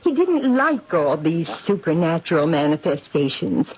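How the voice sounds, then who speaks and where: A woman's voice: low voice, very animated, normal speed, husky, exaggerated voice. An actress playing an anxious, guilt-ridden aristocratic English lady in a 1970s radio drama, speaking in an earnest, refined, confessional way. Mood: guilt-ridden, pleading. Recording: dull vintage radio broadcast.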